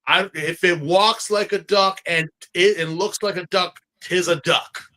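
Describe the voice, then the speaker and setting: A man hosting a casual podcast review, animated and slangy, repeating phrases for emphasis. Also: high voice, measured pace. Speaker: podcast host.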